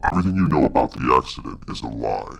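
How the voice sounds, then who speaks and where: A sinister, secretive caller in a video game. The voice is extremely deep, full of gravelly creak and husky. He speaks in cryptic, menacing taunts. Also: animated, quick pace, exaggerated voice.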